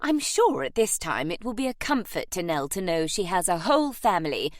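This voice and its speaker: A woman's voice: mid-range voice, sing-song, moderate pace, no room sound, clean studio sound, natural voice. A woman voicing an imperious, airy older aristocratic English aunt in an audiobook, emphatic and grandly dismissive. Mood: insistent. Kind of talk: audiobook character acting.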